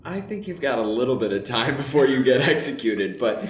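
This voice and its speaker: A man's voice: medium pitch, expressive, a little fast, lightly acted. A man playing a flippant, easily flustered wizard in an improvised comedic radio play, with a theatrical, hesitant manner. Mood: wry, casual. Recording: roomy echo with faint background noise.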